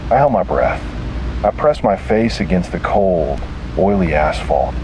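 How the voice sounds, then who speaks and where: A man reads a scripted first-person horror story dramatically, in short deliberate phrases. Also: deep voice, background noise.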